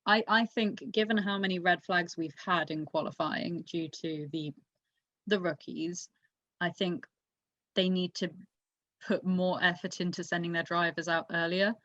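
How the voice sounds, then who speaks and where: A woman's voice: on the low side, fairly even intonation, unhurried, fairly bright tone. A woman chatting casually about motorsport as a podcast co-host, giving her opinions emphatically. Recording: echoey room, dull lo-fi mic.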